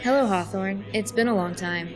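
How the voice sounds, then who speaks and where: A woman's voice: mid-range voice, moderate pace, music in the background, lightly acted. A woman playing a devout, serene cult leader in an audio drama, with formal, reverent phrasing. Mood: serene, welcoming.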